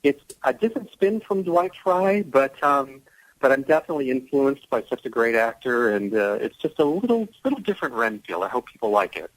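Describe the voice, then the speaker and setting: A man's voice: medium pitch, very animated, a little fast, no room sound, poor audio. A middle-aged American man from the Chicago area, an actor telling anecdotes as a radio guest, effusive and dramatic in his emphasis. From Chicago area, United States. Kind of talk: radio interview, anecdotal storytelling.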